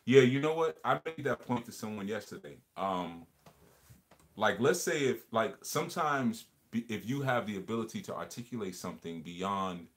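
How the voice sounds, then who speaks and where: A man hosting a casual livestream discussion, talking earnestly and reflectively and often repeating words as he builds a point. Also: on the low side. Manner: earnest, reflective, repeats phrases while explaining. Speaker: livestream host.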